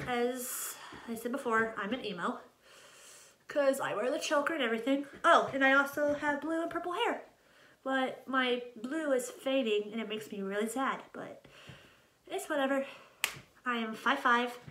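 A woman's voice: high-pitched, lively intonation, a little slow, long pauses, pushed and bright. A nineteen-year-old American woman chatting casually to camera in a rambling way, often interrupting herself. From Ohio, United States. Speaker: channel host introducing herself. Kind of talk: casual vlog chat to camera. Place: small room at home, indoors. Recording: echoey untreated room.

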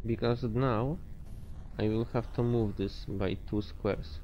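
A man's voice: mid-range voice, steady tone, takes it slowly, lo-fi sound, faint music behind. A man giving casual gameplay commentary, thinking aloud and swearing freely as he plays. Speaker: gamer commentating own gameplay.

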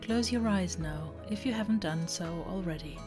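A woman reading a guided meditation, giving slow, deliberate instructions in short phrases. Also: medium pitch. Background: relaxing background music.

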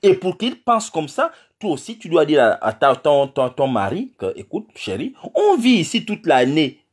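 A man's voice: on the high side, sing-song, moderate pace, slightly rough. A man in his forties with a Gabonese French accent, addressing his fellow expatriates in an impassioned, lecturing phone message full of repetitions and rhetorical questions. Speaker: community speaker addressing the diaspora. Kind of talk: impassioned direct-to-camera message. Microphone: phone held close in selfie framing.

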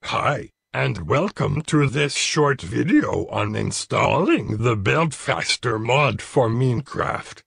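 A man voicing an eager, interrupting male guest with a gravelly, husky voice, pushing to get his turn. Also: medium pitch, expressive, slow, few pauses, fairly bright tone, dry recording, studio quality, exaggerated voice.

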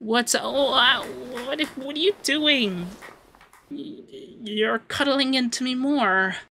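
A man voicing a haughty young femboy demon lord, pompous but easily flustered and secretly soft, in an ASMR roleplay. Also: very high-pitched, slow pace, a little hiss or hum, heavily acted. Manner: pompous commands that slip into flustered cooing.

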